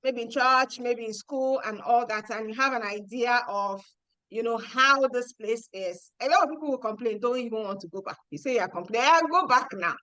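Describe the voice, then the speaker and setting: A woman's voice: a little high, normal speed, bright, forceful tone, no room sound, low-quality recording. A woman hosting a casual interview, asking long, rambling questions in a chatty way. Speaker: host, interviewer.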